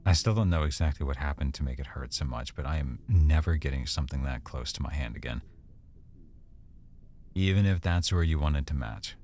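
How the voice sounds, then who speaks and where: A man playing an affectionate, teasing, easily embarrassed boyfriend in an intimate, conversational ASMR roleplay, speaking softly and a little hesitantly. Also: rumbling low, a little fast. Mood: fond, teasing.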